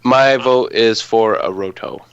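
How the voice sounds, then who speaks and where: A man's voice: fairly low pitch, a little slow, clean tone, no room sound, muffled recording. A man co-hosting a podcast, making dry, laid-back remarks in casual chat. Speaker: podcast co-host.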